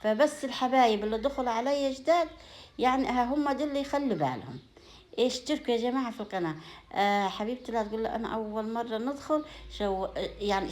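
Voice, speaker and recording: A woman with an Upper Egyptian Sa'idi accent chatting casually to her viewers in a home vlog, in a folksy way full of blessings and endearments. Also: on the high side. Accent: Upper Egyptian (Sa'idi) Arabic. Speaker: home vlogger.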